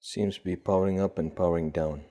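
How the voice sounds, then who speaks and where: A man with a husky voice giving casual, tentative unboxing commentary, thinking aloud as host. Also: low-pitched, fairly even intonation, quick pace, dark tone. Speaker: gadget collector and video host.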